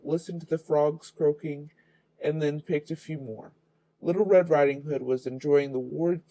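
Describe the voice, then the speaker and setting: Mellow man reading a children's story. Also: fairly high pitch, poor audio.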